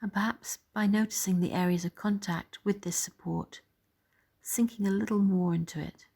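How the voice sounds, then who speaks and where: A woman leading a guided body scan meditation in a soft, gentle, soothing way, pausing between phrases. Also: medium pitch, steady tone, creaky, husky.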